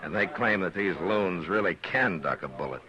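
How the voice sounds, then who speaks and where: An actor playing a weary, steady frontier marshal who talks in a calm, unhurried and dry way, in a vintage radio drama. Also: male voice, low voice. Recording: dull old radio broadcast, crowd behind.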